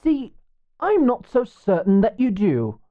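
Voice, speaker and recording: A woman's voice: mid-range voice, huge pitch swings, a little slow, narrow, tinny audio. A woman playing a protective, hot-headed young female guard in a medieval fantasy audio drama, blunt and challenging.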